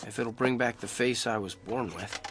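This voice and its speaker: A man's voice: mid-range voice, moderate pace, fairly bright tone, a little hiss or hum, lightly acted. An actor playing a troubled, wry, self-deprecating businessman in an audio drama. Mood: uneasy, wry.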